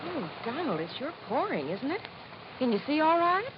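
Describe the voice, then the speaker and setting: A woman's voice: high voice, big pitch swings, moderate pace. An actress playing a chatty policeman's wife in an old radio safety sketch, earnest and cheerfully instructive. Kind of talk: 1950s radio public service sketch. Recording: muffled old radio, loud noise behind.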